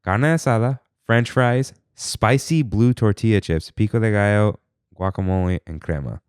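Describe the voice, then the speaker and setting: A man's voice: lowish voice, normal speed, darkish tone, smooth, no echo, clean studio sound. A man co-hosting a podcast in casual chat, whose first language is Armenian, talking animatedly and rattling off menu items. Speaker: podcast co-host.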